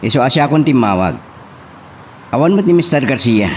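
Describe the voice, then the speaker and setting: A man's voice: medium pitch, big pitch swings, fairly quick, a little hiss or hum, close to an everyday voice. A man acting a respectful employee who answers to his boss in an Ilocano radio drama, with an Ilocano accent.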